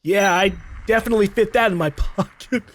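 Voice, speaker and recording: A man's voice: high voice, brisk. A man giving casual, playful let's play commentary as host of a gaming video. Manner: playful, joking.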